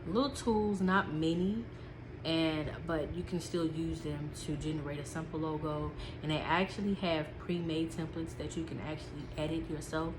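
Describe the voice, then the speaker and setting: A woman's voice: deep voice, steady tone, moderate pace, noisy background. A woman vlogger with a stuffy, sniffly nose, chatting casually from her notes with lots of ums and repeats. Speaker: small business vlogger.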